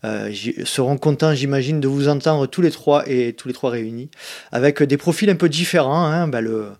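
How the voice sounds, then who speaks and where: A man's voice: medium pitch, moderate pace, darkish tone. A man hosting a podcast and interviewing guests in a casual way, with many fillers and repeated words. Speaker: podcast host.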